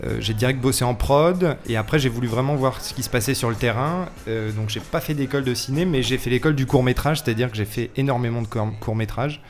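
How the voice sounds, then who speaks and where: A man with a medium-pitched voice talks as a guest on a radio show. His delivery is informal and conversational, like someone explaining his own line of work. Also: fast, few pauses, soft background music. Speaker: film set decoration technician, radio guest. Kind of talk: casual interview chat. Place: radio studio.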